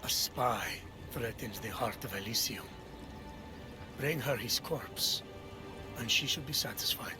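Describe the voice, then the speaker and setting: A man's voice: medium pitch, unhurried, over music, natural voice. A man playing an aged Spartan warrior grandfather, wry and steely, in a video game. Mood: grave, steely. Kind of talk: video game cutscene acting.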